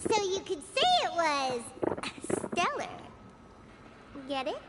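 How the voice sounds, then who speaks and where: Tiny cheeky female game sidekick, extremely high, squeaky, animated, excitable, music behind. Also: slow pace, very noisy, heavily acted. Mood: playful. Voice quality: squeaky, childlike. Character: tiny, cheeky, childlike female companion who speaks in third person. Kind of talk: English video game voice acting.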